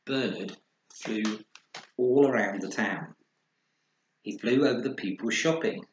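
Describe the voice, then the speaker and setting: A man narrating a children's story with expressive, dramatized delivery, recorded in an echoey room. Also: on the low side, measured pace, lo-fi sound. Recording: echoey room with faint noise.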